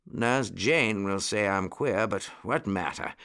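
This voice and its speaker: A man voicing a kindly, fussy old gentleman rabbit in a children's story, with a genteel, exclamatory manner. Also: fairly low pitch, slow, clean tone.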